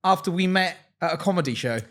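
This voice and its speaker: A man's voice: high-pitched, expressive, fast talker, a bit bright. A young man with an English accent, co-hosting a podcast in a chatty, jokey, self-deprecating way. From England. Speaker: podcast co-host. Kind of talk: casual chat.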